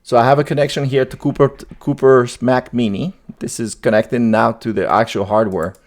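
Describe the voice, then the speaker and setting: A man's voice: medium pitch, fairly even intonation, moderate pace, a little mellow. A man hosting a tech video, talking informally through a demo and thinking aloud as he goes, often repeating his phrases. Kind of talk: casual tutorial screen demo.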